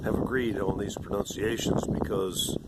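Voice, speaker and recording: A man in his sixties with a rough, irregular voice, rambling and lecturing condescendingly in a video monologue. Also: on the low side, steady tone, moderate pace, heavy background noise. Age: 60s. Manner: condescending, lecturing, meandering with restarts. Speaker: vlogger.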